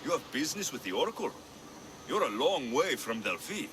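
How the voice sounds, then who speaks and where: An actor playing a confident, direct ancient Greek mercenary with a dry manner in a video game cutscene. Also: male voice, medium pitch, big pitch swings, brisk, noisy background, close to an everyday voice. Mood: confident, curious.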